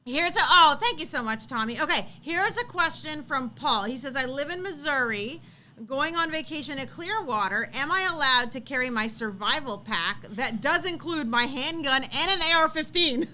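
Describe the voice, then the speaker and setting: A chatty, animated woman co-hosting a live stream, bantering casually and teasingly with viewers. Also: high-pitched, normal speed.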